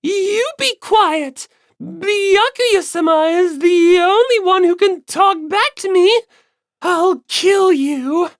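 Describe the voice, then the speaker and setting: A hostile, insecure teenage girl acted by a man for an audiobook. The voice is high and volatile in pitch, full of grumbling, explosive resentment. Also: expressive, slow pace, no echo, studio quality, heavily acted. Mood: furious.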